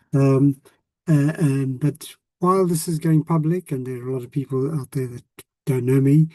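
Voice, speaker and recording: A man in his sixties with a South African accent, a retired language teacher talking casually to former students, often pausing with ums and restarting sentences. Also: mid-range voice, warm tone, no room sound. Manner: hesitant, many ums and restarts.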